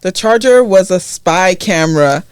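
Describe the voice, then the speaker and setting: A woman's voice: on the low side, animated, measured pace, clear, resonant tone, dry recording. A woman whose first language is not English, co-hosting a casual podcast and reading out a listener's story, often breaking into laughter. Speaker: podcast co-host.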